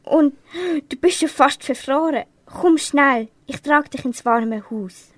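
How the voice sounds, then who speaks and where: A woman's voice: sits high, takes it slowly, no room sound. A young teenage girl playing a caring pet owner, tender and coaxing, in an amateur radio play. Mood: worried, comforting. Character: caring girl who looks after pets.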